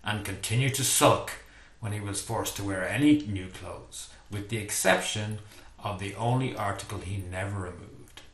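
Playful Irish man reading aloud, low voice. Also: normal speed. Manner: playful, self-deprecating, lively storyteller. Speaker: author reading his book. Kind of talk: reading a book passage aloud. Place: home study with bookshelves, indoors. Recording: echoey room.

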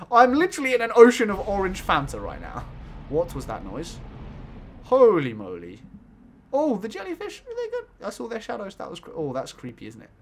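A young man streams a game from his desk mic with a fairly high, wildly swinging voice. He giggles a lot and goes from low mumbles to excited yelps, sounding a little croaky, with faint noise behind him. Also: moderate pace. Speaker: gaming YouTuber. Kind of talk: excitable let's-play commentary.

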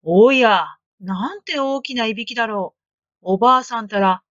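A woman voicing a kindly male hunter in a storybook reading, calm and concerned. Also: on the high side, moderate pace, no echo, lo-fi sound, close to an everyday voice.

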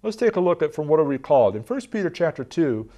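A man's voice: fairly high pitch, very animated, fast talker, mellow. A man in his forties teaching a Bible lesson from a lectern in a television studio, earnest and gesturing as he reads passages aloud.

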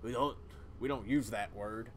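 A man's voice: mid-range voice, normal speed, a little hiss or hum, natural voice. A man voicing a grim, self-righteous old small-town cult elder with a folksy way of talking. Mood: cold justifying.

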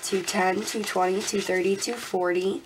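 A young woman vlogging casually at her desk. She sounds congested and a little raspy, laughs often and counts money out loud. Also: medium pitch, steady tone, moderate pace, background noise. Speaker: budgeting vlogger. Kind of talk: casual talk while counting cash. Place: indoors at a desk.

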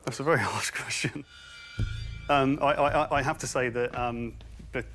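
A man in his thirties pitching a business, nervous and stumbling over his words in a large studio. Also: fairly even intonation, normal speed, a bit bright. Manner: nervous, stumbling, many fillers and restarts. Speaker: entrepreneur pitching. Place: large dim studio with brick walls. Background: background music.

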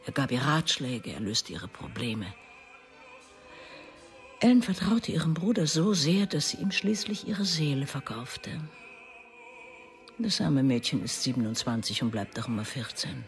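An actress playing a bitter, wounded middle-aged mother who speaks of her estranged son in an intense radio drama monologue, moving between hushed and emphatic delivery. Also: rumbling low, very animated, a little slow, pauses often, soft background music, full character voice. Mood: sorrowful.

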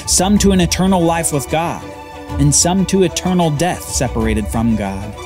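A man hosting a podcast, telling a Bible story as scripted narration with dramatic pauses. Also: on the high side, expressive, over music.

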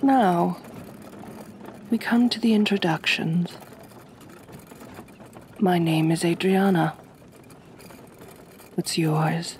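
A woman playing a kind but possessive, aristocratic vampire mistress in a soft-spoken ASMR roleplay, gentle and genteel, often close to a whisper. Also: on the low side, very slow, pauses often, a little hiss or hum. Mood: gentle, soothing. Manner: gentle, soothing, genteel, formal phrasing.

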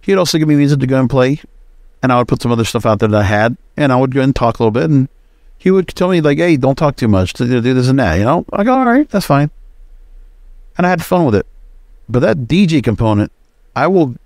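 A man's voice: mid-range voice, darkish tone, no room sound, studio quality. A man hosting a solo podcast, giving casual, rambling and opinionated commentary. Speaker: podcast host.

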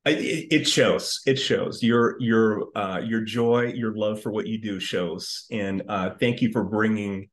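A man's voice: fairly low pitch, unhurried, hardly any pauses. A man in his fifties hosting a casual chat, appreciative and given to repeating his words. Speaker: host. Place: living room, indoors. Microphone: video call.

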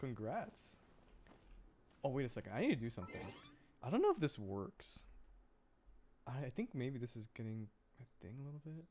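A man's voice: medium pitch, big pitch swings, normal speed, pauses often, darkish tone, a little hiss or hum. A man chatting casually as he livestreams a game, giggly and easily amused as he reacts. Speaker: livestreamer, VTuber host. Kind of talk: casual game stream chat, reacting to play and chat. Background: game music.

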